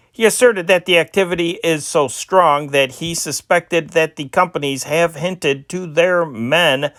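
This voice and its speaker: A man reading old newspaper stories aloud in a steady amateur style, with small slips and restarts. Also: a little high, no echo, clean studio sound. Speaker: newspaper reader.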